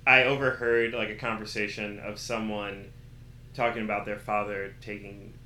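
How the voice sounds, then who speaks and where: Bright-voiced man talking candidly in echoey room. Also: fairly low pitch, moderate pace. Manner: animated storytelling with acted-out quotes and slang. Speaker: interview guest. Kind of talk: casual candid conversation. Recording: roomy echo with faint background noise.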